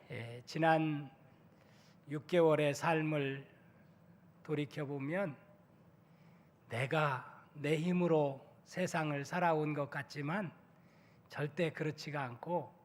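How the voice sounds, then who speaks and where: Hoarse, high-voiced preaching pastor. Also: male voice. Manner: builds from quiet apology to loud, emphatic preaching. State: hoarse from a cold. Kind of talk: Sunday sermon. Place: church sanctuary. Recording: long church reverb.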